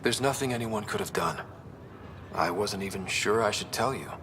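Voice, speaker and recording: A man's voice: fairly low pitch, moderate pace, a bit bright, slight background noise, lightly acted. An actor playing a determined, wry young man who leads explorers in a sci-fi video game. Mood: gently warm. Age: young adult. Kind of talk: naturalistic video game cutscene acting. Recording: long echoey reverb, faint music behind.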